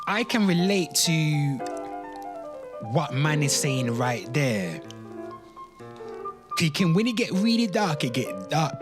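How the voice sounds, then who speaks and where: Guy doing a casual streamer rant, really lively up and down delivery, repeats himself, music playing behind. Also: fairly high pitch. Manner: emphatic, slangy, sweary, repeats phrases, often addresses listeners as "people". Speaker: host of a pop culture commentary show. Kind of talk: casual rant and commentary, off the cuff.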